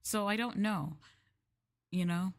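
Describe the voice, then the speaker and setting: A woman streamer chatting casually in a maid roleplay, rambling and laughing softly as she addresses her master. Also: medium pitch, takes it slowly. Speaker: VTuber streamer.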